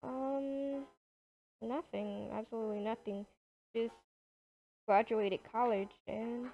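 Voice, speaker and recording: A woman's voice: fairly high pitch, steady tone, gravelly. A young woman playing a shy, hesitant new worker in an improvised video game roleplay. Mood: shy, polite. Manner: hesitant, unhurried. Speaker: roleplay gamer. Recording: dull lo-fi home mic.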